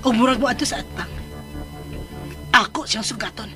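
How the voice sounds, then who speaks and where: A woman playing a scheming, menacing extortionist in a radio drama, taunting in drawn-out phrases with a Filipino accent. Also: a little high, lightly acted. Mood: sly, gloating. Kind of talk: theatrical radio villain acting. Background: music.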